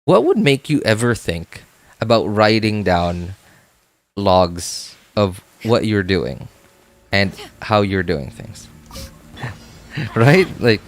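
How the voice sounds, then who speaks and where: A man's voice: on the low side, big pitch swings, slow pace, long pauses. A man chatting casually on a game livestream, thinking aloud, repeating himself and often checking in with "right?".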